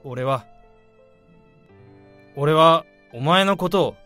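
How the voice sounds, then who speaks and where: A man's voice: on the high side, drawn-out words, sharp tone, soft background music, full character voice. A man playing a forgiving coworker who speaks in an earnest, heartfelt way. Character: forgiving, admiring male coworker. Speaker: lead's coworker. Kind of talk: radio drama acting.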